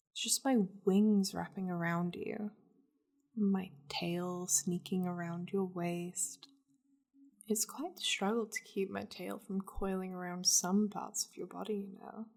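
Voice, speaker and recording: A woman playing a possessive, tender demon lady in love, speaking intimately with breathy softness in an ASMR romantic roleplay. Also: mid-range voice. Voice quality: breathy, often dropping to whispers. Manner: slow, tender, hesitant confiding.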